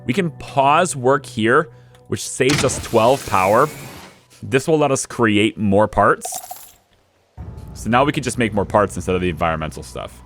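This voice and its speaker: Young guy doing game commentary, lowish voice that jumps around a lot, kinda hoarse, faint music under it. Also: animated, unhurried, fairly bright tone. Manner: chatty, thinks aloud, talks to his units. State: losing his voice. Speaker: let's play host. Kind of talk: casual gameplay commentary.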